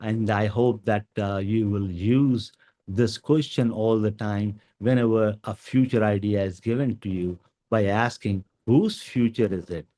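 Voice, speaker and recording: Low-voiced guy, urdu native, giving a talk to teachers, lots of uhs and ums. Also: steady tone, unhurried, warm tone. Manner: hesitant, many fillers. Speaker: guest speaker. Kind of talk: educational talk.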